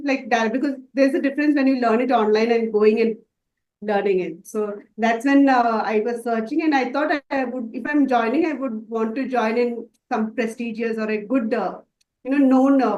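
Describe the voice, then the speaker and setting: A woman's voice: a little high, darkish tone, echoey room, dull audio. A woman from Dubai answering interview questions about her makeup work, hesitant and full of fillers and restarts. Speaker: makeup artist student.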